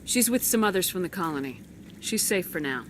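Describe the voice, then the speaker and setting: A woman's voice: fairly low pitch, lively intonation, brisk, a little hiss or hum, natural voice. Woman playing a direct, resolute military commander. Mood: brisk, probing. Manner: direct, businesslike. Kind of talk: video game voice acting.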